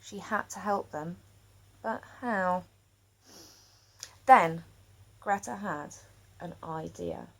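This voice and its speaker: A woman storyteller reading a children's picture book aloud expressively, with dramatic pauses. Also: fairly low pitch, slight background noise, rough recording.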